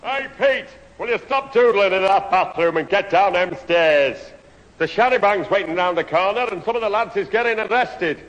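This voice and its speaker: A man's voice: sits high, animated, normal speed, a bit bright, dull audio, a little hiss or hum. A man playing a muddled, good-natured middle-aged northern English family friend in a radio sitcom, comically mangling his words. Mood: exasperated, chatty. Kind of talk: 1970s radio sitcom acting. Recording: old radio recording, reverberant hall.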